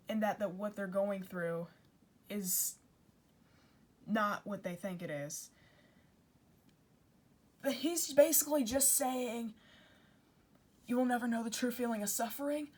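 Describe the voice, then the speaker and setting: A woman with a fairly high voice talks through an informal explainer. She sounds earnest and conversational, correcting herself as she goes. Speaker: video creator and commentator.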